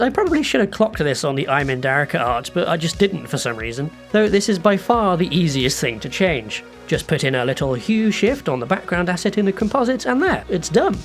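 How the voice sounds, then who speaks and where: A man narrating a scripted making-of video as a digital artist, in a reflective, self-deprecating, conversational way. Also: high-pitched.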